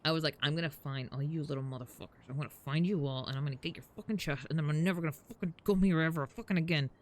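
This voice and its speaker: A woman's voice: deep voice, rapid-fire. A woman livestreaming a game, chatting casually with viewers in a sweary, informal way. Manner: sweary, prone to laughing. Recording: faint game music behind. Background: faint music.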